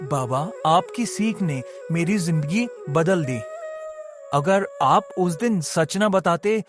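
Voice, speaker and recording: Young villager hero male actor: honest, meek, sincere, slightly high male voice, cartoon story delivery. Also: expressive, takes it slowly, music in the background. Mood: grateful. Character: honest humble earnest young village man. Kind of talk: Hindi cartoon story voice acting.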